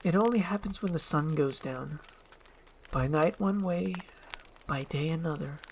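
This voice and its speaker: A woman voicing an earnest, anxious young princess who hides an ogre form, in an animated film script. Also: low voice, unhurried, slight background noise. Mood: confessing, ashamed.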